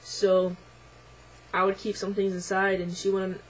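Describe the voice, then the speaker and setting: A young man talking hesitantly to camera in a casual video diary, his voice midway through deepening and a little unsettled, with many ums and likes. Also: monotone, a little slow, a little hiss or hum, poor audio. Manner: hesitant, lots of ums and likes, quiet and reflective. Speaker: vlogger.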